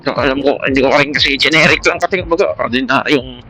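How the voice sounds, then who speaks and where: Bright-voiced Filipino man vlogging casually. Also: mid-range voice, fast talker, soft background music. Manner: rambling, informal, mixes in English words. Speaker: motovlogger. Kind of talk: casual vlog chat. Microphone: Bluetooth intercom headset. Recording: Bluetooth intercom mic with vehicle noise.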